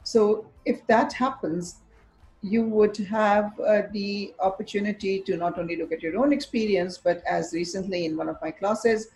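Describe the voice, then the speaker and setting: A woman's voice: mid-range voice, fairly even intonation, moderate pace, darkish tone, a little hiss or hum, rough recording. A woman from Pakistan, English not her first language, speaking as a teacher educator in a thoughtful talk to a webcam from an office, with many fillers and restarts. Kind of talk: reflective talk to camera. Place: office with bookshelves, indoors.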